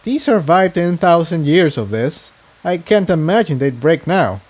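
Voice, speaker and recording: A man's voice: fairly high pitch, lively intonation, a little slow, a little mellow, pitched above the performer's own voice. A man voicing an earnest, practical young male unicorn pony who explains things matter-of-factly in a story. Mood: confident.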